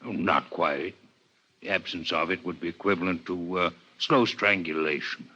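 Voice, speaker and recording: A husky, low-voiced alien doctor. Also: male voice, moderate pace, sharp tone, full character voice. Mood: measured. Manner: formal, precise, pedantic phrasing. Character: courteous, learned male alien doctor from another planet. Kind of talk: 1950s radio drama acting. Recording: muffled 1950s radio recording.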